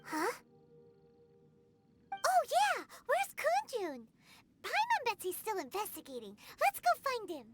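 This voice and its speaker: Squeaky, childlike fairy sidekick, very high. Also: female voice, wildly swooping pitch, slow, long pauses, heavily acted. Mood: eager, bubbly. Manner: refers to self in third person, exclamatory. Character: tiny childlike female fairy companion, eager, chatty, curious. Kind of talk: video game voice acting. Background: game music.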